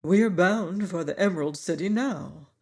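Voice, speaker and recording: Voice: medium pitch, measured pace, vocal fry. A reader voicing a polite, kindhearted male tin woodman. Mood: kindly. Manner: polite and kindly.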